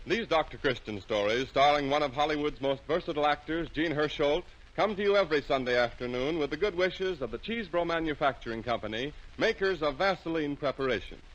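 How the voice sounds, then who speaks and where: A man with an American accent reading scripted sponsor announcements in a formal, measured, carefully enunciated 1940s radio style, on a muffled vintage broadcast. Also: a little high, lively intonation.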